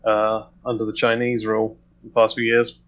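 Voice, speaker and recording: A man's voice: fairly low pitch, completely flat delivery, moderate pace, a bit bright. A man speaking conversationally in a casual political discussion, on lo-fi audio. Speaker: panelist. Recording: muffled lo-fi voice audio.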